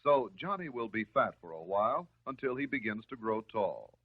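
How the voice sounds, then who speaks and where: An American man delivering scripted narration in the style of a 1950s educational film, measured, instructive and reassuring. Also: fairly low pitch, big pitch swings, husky. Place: voice-over recorded for film. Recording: muffled, narrow-band 1950s film soundtrack.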